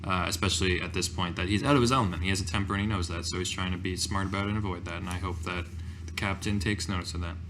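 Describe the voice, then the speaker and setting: A man's voice: sits low, steady tone, very fast, a bit bright. A man chatting casually and informally as a player in a tabletop roleplaying game.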